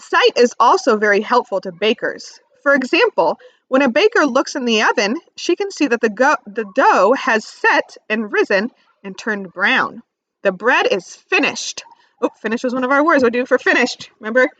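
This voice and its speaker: A woman teacher reading aloud to young children, expressively stressing key words and pausing to ask simple questions. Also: fairly high pitch, very animated, a bit bright, no echo, dull audio. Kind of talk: read-aloud lesson with questions to young listeners.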